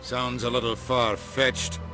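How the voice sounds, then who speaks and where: An actor playing an irritable, curt human ambassador in a video game. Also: male voice, medium pitch, level tone, takes it slowly, music in the background, natural voice. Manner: curt, exasperated.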